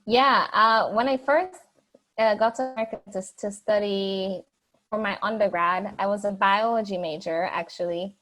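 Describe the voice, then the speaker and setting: A woman's voice: mid-range voice, normal speed. A young woman from Jamaica, answering interview questions in a chatty way, with many fillers and restarts. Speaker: guest.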